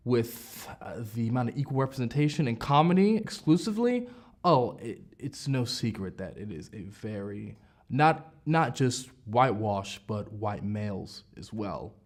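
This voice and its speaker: A twenty-two-year-old man, a writer and comedian speaking in a measured way in a documentary interview. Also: medium pitch, sing-song.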